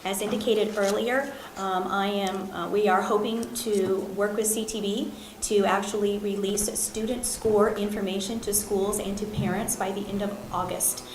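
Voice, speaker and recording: A woman's voice: mid-range voice, fairly even intonation, reverberant, slight background noise, rough recording. A woman chairing a board meeting, speaking with frequent ums and uhs. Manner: halting, many ums and uhs. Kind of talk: formal meeting remarks.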